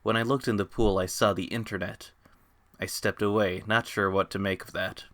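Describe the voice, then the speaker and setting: Low, bright-voiced man narrating a story. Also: fairly even intonation, moderate pace, dry recording. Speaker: author reading own story. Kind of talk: dramatic fan fiction narration.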